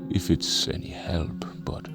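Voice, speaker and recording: A man playing an unhurried village preacher in an audio drama, slow and paternal, soothing yet quietly ominous. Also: whispered, music in the background, natural voice. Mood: soothing, firm. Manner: slow, deliberate, paternal, calls the listener brother.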